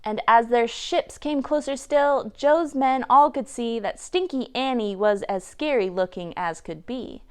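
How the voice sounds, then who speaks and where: A woman reading a rhyming picture book aloud for children in an expressive, dramatic storytelling manner. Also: on the high side, measured pace, few pauses, clear, resonant tone. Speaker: library storytime reader.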